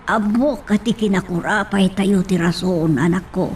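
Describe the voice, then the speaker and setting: A woman's voice: medium pitch, normal speed, darkish tone, a little hiss or hum, lightly acted. A woman playing a protective, resigned middle-aged mother in an audio drama, motherly and cautioning. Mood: worried, cautioning.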